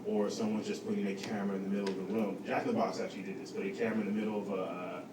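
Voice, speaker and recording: A man's voice: on the low side, level tone, racing. A man explains a project conversationally as a guest speaker at a panel talk. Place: indoor event room with audience. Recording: echoey, noisy room, distant microphone.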